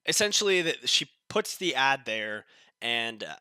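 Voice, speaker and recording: A man's voice: mid-range voice, big pitch swings, measured pace, pushed and bright, clear, resonant tone, no echo. Nasal, sick-sounding young man. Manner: blunt and dismissive, with frequent restarts. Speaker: commentary channel host. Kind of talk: casual political commentary.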